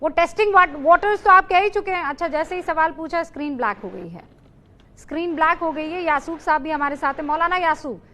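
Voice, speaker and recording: A woman anchoring a heated TV news debate, cutting in and pressing guests with quick questions. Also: very high-pitched, pushed and bright.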